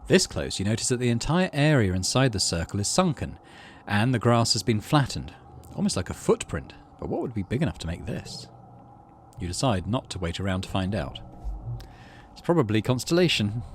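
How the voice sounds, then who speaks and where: A man's voice: lowish voice, sing-song, brisk, slight background noise. A man hosting a gaming video, reading story text aloud and adding casual commentary.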